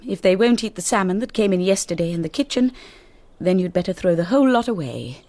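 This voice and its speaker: An actress playing a well-spoken young English wife in a radio drama, with an emotive delivery. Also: female voice, on the low side, animated, a little fast, a little mellow, no echo. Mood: firm, imperious. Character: young English wife asserting herself as mistress of house.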